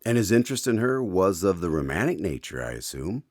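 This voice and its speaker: A man's voice: deep voice, big pitch swings, brisk, darkish tone, no echo, clean studio sound. A man playing an eager, inquisitive host in a radio drama, speaking formally as announcer and listener. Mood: curious. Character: eager male host visiting a doctor to hear his stories.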